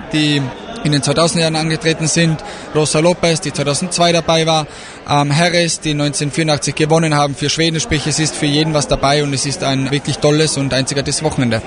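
A man's voice: on the high side, level tone, fast. A man from Austria, an event organizer, talking eagerly with many fillers in an interview at a trade fair. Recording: crowd noise behind.

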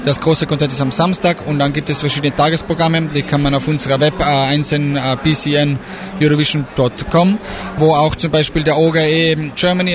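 A man from Austria, an event organizer, talking eagerly with many fillers in an interview at a trade fair. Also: fairly high pitch. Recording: crowd noise behind.

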